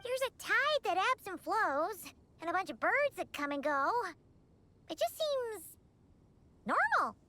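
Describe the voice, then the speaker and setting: A tiny, excitable fairy companion from a video game, talks in an extremely high, squeaky childlike voice. The pitch bounces around in a bright, blunt, cutesy delivery, with faint ambience behind. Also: female voice, a little slow, a little hiss or hum, full character voice. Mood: skeptical.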